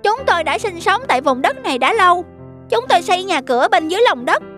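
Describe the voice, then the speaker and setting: Woman voicing a very high-pitched earnest little field mouse. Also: expressive, fast, a bit bright, faint music behind. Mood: earnest pleading. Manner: polite, earnest, pleading. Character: small earnest field mouse pleading for its home. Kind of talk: cartoon dialogue acting.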